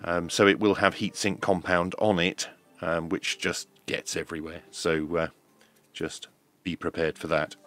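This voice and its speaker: A man with a low voice talks through a hands-on tutorial. He explains each step slowly and in a measured way. Music plays behind his voice. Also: little pitch movement, a bit bright. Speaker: host of an electronics teardown channel.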